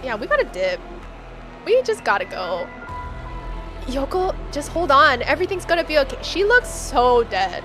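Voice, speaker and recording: A woman's voice: sits high, very animated, normal speed. A woman game streamer playing a horror game live, reading text aloud and reacting in a dramatic, slangy way. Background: game music.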